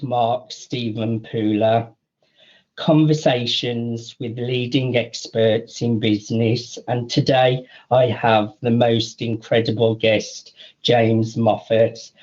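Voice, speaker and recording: A male radio host speaks slowly and deliberately in a medium-pitched voice. He introduces guests and asks questions, full of praise. The recording sounds slightly dull. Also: a little mellow. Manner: slow, deliberate, enthusiastic praise. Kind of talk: radio interview hosting.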